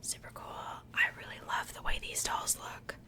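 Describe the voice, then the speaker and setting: A woman speaking in a soft, breathy whisper, chatting casually and unhurriedly in the style of an ASMR unboxing. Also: moderate pace. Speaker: ASMR video creator.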